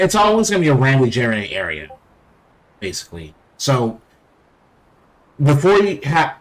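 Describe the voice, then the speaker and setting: A man's voice: mid-range voice, rough recording. A man hosting a let's play with casual, offhand and wry game commentary.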